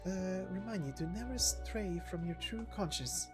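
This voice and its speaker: Sage mentor, acted by a woman, game story, solemn, cryptic, measured, slightly low, music. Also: natural voice. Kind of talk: game story character voicing.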